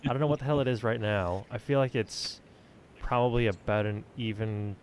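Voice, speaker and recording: A man in casual conversation, animated and blunt, given to swearing and joking exaggeration. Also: on the low side, moderate pace, slight background noise. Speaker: stream co-host, narrator. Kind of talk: casual chat.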